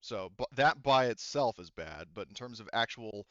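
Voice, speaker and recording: A man improvising tabletop game narration as game master, often halting and rephrasing mid-sentence. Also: mid-range voice, lively intonation, a bit bright, dry recording, highs cut off.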